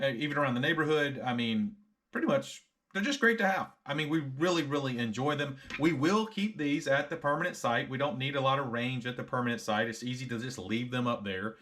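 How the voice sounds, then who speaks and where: A man's voice: mid-range voice, a bit bright. A middle-aged American man reviewing a product casually to camera, frank and conversational, often repeating himself.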